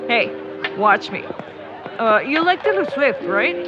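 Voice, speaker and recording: A woman's voice: on the high side, very animated, slow, highs cut off, over loud music. A woman playing a friendly, teasing young man in casual, naturalistic audio drama dialogue. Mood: upbeat, persuading. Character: friendly, teasing young man, camera-loving travel companion.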